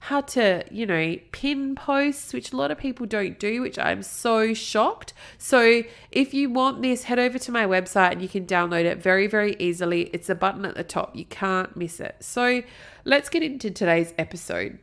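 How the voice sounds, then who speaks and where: A woman's voice: mid-range voice, expressive, normal speed, a bit bright. An upbeat, chatty Australian woman in her thirties from South Australia with a blocked nose, hosting a solo podcast and wandering into friendly digressions. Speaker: podcast host. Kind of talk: solo marketing podcast talk.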